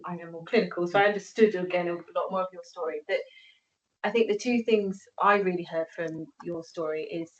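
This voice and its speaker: A woman's voice: on the low side, fairly even intonation, fairly quick, distant mic. A woman who is a GP and board member, summing up a patient's story in an emphatic, reassuring way. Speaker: associate non-executive director and GP. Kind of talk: meeting comment. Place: meeting room. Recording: dull lo-fi meeting audio.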